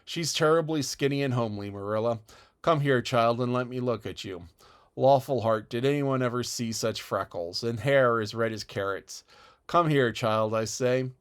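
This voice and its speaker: A man's voice: mid-range voice, moderate pace, smooth, lightly acted. A man voicing a blunt, gossipy older village woman who speaks emphatically and disapprovingly.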